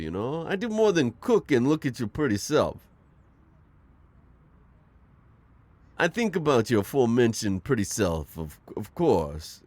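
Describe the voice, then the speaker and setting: A man's voice: medium pitch, no room sound, close to an everyday voice. Man acting a smitten, lilting mafia boss. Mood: amused, defensive. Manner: hesitant, rambling, flirtatious murmur. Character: smitten, charming mafia boss courting a date. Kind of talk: ASMR roleplay acting.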